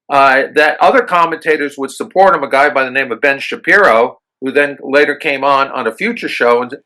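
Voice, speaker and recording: American guy hosting a radio chat, keeps stumbling on uh and um, bright edgy voice. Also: medium pitch, low-quality recording. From United States. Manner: halting, many fillers and restarts. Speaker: radio show host. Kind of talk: casual radio interview.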